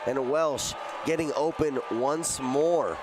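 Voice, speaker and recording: A man's voice: fairly high pitch, takes it slowly, crowd noise. A man giving live, conversational basketball analysis as a color commentator. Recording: noisy basketball arena ambience. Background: arena noise, bouncing basketballs.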